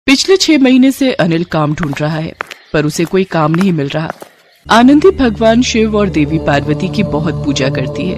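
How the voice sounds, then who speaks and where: A woman's voice: lowish voice, darkish tone. A woman storyteller reading scripted narration of a devotional moral tale.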